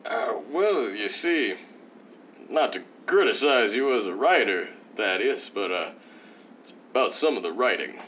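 A man's voice: lowish voice, lively intonation, measured pace, a bit bright. An actor playing a vain, condescending leading man of old-time radio, pompous and theatrical. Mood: self-important. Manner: pompous, theatrical, swooping emphasis. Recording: dull lo-fi sound, faint noise.

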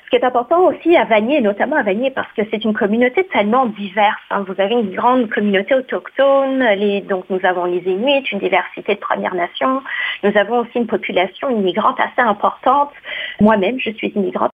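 A woman's voice: medium pitch, a little fast, husky. A woman who leads a community association, answering an interview question in an earnest, reflective way and often repeating words as she searches for them. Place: studio. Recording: phone-like lo-fi sound.

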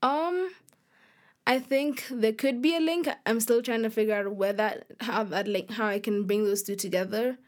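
A woman's voice: on the high side, normal speed. A young woman from Kenya being interviewed as a guest, talking casually and a little hesitantly, often saying "like". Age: young adult. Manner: informal, hesitant, frequent "like". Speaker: podcast guest, young author.

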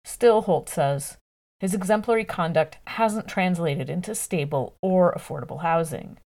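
A woman reading a scripted news article aloud in a measured, journalistic way with clear enunciation. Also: on the low side, a little mellow.

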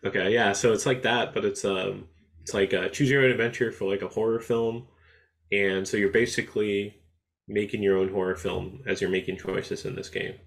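A man co-hosting a podcast in casual chat, laid-back and halting, often pausing on "um". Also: fairly low pitch, fairly even intonation, creaky. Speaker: podcast co-host.

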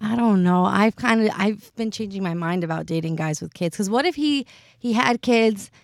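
A woman's voice: mid-range voice, moderate pace, dry recording, clean studio sound. A woman of about thirty co-hosting a podcast, chatty and telling personal anecdotes in casual conversation. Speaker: podcast co-host. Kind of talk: casual chat.

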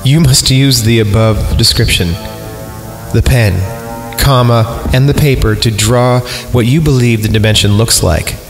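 A man's voice: mid-range voice, a little slow. A man hosting a horror podcast, reading stories aloud in an informal, self-amused way with casual asides. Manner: informal, self-amused, breaks off to comment and chuckle. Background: music under the voice.

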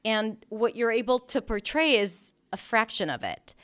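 A woman's voice: a little high, expressive, moderate pace, fairly bright tone. A woman whose first language is Hebrew, a pronunciation teacher explaining her approach in an earnest, conversational interview. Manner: earnest, explanatory, with frequent restarts.

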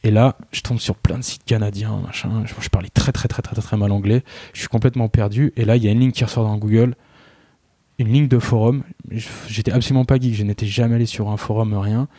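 A man's voice: on the low side, steady tone, fast, warm tone, slightly rough, dry recording. A man in his thirties, chatting casually and telling a self-mocking story. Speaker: guest. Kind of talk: casual podcast conversation.

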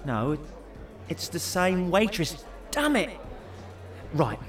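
A high, animated young man's inner voice, nervous and self-berating, with an unhurried delivery. It is sarcastic, sweary audio drama comedy, heard a little back from the mic. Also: far from the mic, faint music behind, full character voice. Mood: searching, flustered.

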